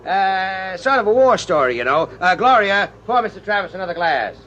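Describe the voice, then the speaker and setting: An actor in a 1950s radio drama playing a glib schemer who poses as a film producer to trap a couple. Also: male voice, high voice, very animated, normal speed, sharp tone, full character voice. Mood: coaxing. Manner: glib, wheedling patter that turns to barked orders. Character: smooth-talking scheming male time searcher posing as film producer. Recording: dull old radio transcription with faint hiss.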